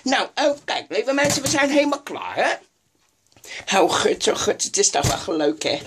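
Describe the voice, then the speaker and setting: A woman's voice: mid-range voice, sing-song, moderate pace, noisy background. A woman playing a scatterbrained elderly woman who hosts a microwave cooking show, rambling and forgetful with many sighed exclamations. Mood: doddering. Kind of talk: comedic improvised sketch.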